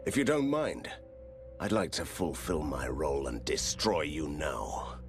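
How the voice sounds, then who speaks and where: A low-voiced monster hunter in a fantasy game. He is sardonic and resolute, and his dry lines rise and fall in lively swings. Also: a little slow, soft background music, full character voice. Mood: defiant anger. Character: sardonic, determined male monster hunter hero. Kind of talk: dramatic video game hero acting.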